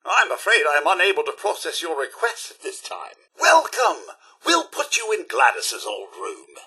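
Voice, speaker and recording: Loyal robot butler, video game. British, formal and deferential, high-pitched, swooping theatrical delivery. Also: male voice, bright, forceful tone, husky, full character voice. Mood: courteous. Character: fussy, polite, loyal male robot butler. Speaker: voice actor. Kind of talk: video game companion dialogue.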